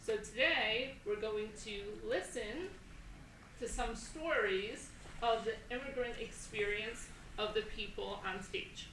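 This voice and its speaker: A woman moderating an assembly, explaining things deliberately and repeating phrases for emphasis, recorded in an echoey hall. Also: a little high, fairly bright tone, recorded from a distance. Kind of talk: educational talk to an assembly. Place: school assembly, speakers on a stage. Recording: echoey hall from afar, faint noise.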